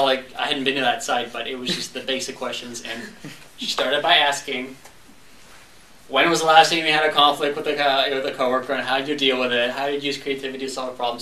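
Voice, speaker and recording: A young man from Montreal, a French speaker, tells a funny story to a club audience and slips into the voices of the people in it. His tone is bright and forceful. He is recorded from a distance in a roomy space with faint background noise. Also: medium pitch. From Montreal, Canada. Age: young adult. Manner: storytelling, acting out dialogue. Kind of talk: humorous speech. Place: club meeting room. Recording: echoey room, distant mic.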